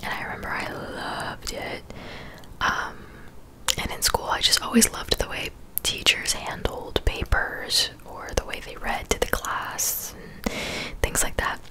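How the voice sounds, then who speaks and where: A woman in her twenties speaking in a soft, breathy whisper, chatting casually and unhurriedly as an ASMR creator answering viewers. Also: unhurried, rough recording. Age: 20s.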